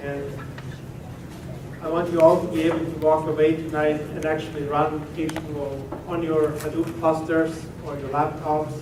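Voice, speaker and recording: A middle-aged man from Switzerland with a Swiss German accent, giving a relaxed technical talk to a meetup audience with plenty of "uh" and "um". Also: fairly high pitch, moderate pace. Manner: relaxed and conversational, with frequent "uh" and "um". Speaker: presenter at a tech meetup. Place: meeting room at a company office. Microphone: room recording from a distance. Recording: echoey room from far off, with background noise.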